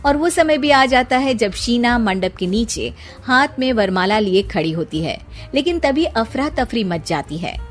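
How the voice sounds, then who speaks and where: A woman's voice: on the high side, lively intonation, a little slow, hardly any pauses, faint music behind. A woman reading a scripted story as its storyteller.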